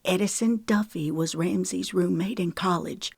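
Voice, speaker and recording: A woman narrating a hushed, tearful young woman. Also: on the low side, normal speed, vocal fry, husky, dry recording, studio quality. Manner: hushed, trembling. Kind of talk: audiobook character voice.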